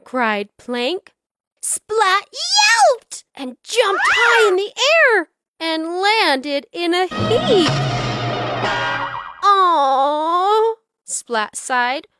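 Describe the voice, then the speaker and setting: A woman narrating a children's storybook aloud in an expressive, dramatic storytelling style. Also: very high voice, extremely slow, over music. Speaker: storybook reader.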